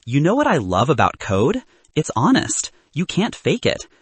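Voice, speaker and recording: Audiobook role: confident young woman entrepreneur read by a man. Dry banter, animated, husky edge. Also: fairly high pitch, normal speed, no room sound, voice pushed up, exaggerated voice. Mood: frank, confident. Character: confident, teasing young woman, a sharp student founder.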